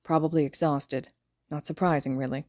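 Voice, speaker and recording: A woman's voice: sits low, fairly even intonation, normal speed, dark tone, no echo. A woman voicing a dull, blunt, stubborn man in a story reading, with a dry and terse manner. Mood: subdued.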